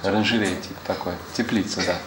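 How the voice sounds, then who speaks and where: A man's voice: sits low, fairly even intonation, fast. A man whose first language is Portuguese, a former student talking casually with a class of schoolchildren, patiently explaining things and asking them questions back. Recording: echoey room with background noise.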